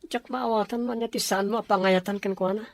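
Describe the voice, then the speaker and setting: Woman playing a friendly young man, medium pitch. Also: steady tone, brisk, no echo, close to an everyday voice. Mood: casual banter. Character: friendly young man, eager groom-to-be and office worker. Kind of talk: Ilocano radio-style drama acting.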